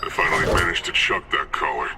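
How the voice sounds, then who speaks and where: A man's voice: very deep voice, fast, music in the background. A man playing a cocky, menacing mercenary gunman in a video game, goading in a casual way. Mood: cocky threatening.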